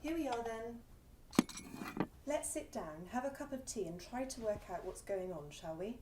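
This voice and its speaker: A young British actress playing a brisk, practical grown-up daughter, touchy but dutiful, in a radio play. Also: female voice, on the high side, animated, dark tone. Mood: calm, practical. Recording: echoey room, faint noise.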